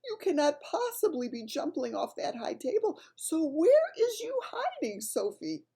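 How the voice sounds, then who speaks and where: A woman voicing a big, friendly old male giant who is timid and kind, talking in a high, eager, wheedling way with mangled grammar. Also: big pitch swings, measured pace, mellow, heavily acted. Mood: desperate.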